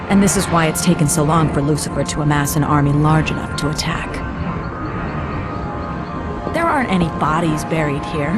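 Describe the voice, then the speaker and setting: A woman playing a composed, knowing guide to the afterlife in a video game cutscene, speaking in a measured, explanatory way. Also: low voice, close to an everyday voice. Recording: loud music behind the voice. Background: loud music.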